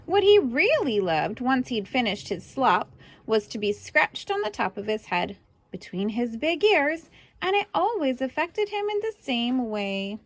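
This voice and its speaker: A woman's voice: a little high, sing-song, measured pace. A woman reading a children's book aloud expressively, adding chatty asides to young listeners. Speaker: storybook reader.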